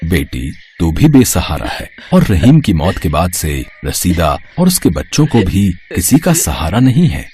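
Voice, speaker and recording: A man playing a wise old fakir in an audio folk tale, giving slow, fatherly, solemn counsel. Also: low-pitched, sing-song, a little mellow, soft background music. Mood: solemn, gentle. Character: wise old male fakir, calm mystic holy man.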